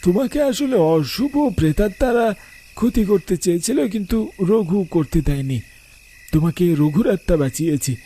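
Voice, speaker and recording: Man doing a high, very muffled-toned old father-in-law. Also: lively intonation, normal speed, dark tone. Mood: grave. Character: grave older village father-in-law revealing a ghostly truth. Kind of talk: animated horror cartoon voice.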